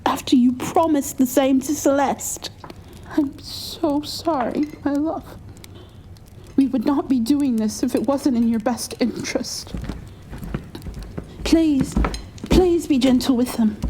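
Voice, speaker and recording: Woman playing an earnest, higher-voiced vampire noblewoman. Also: mellow, a little hiss or hum. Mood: pleading. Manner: formal, earnest, deliberate. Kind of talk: ASMR roleplay acting.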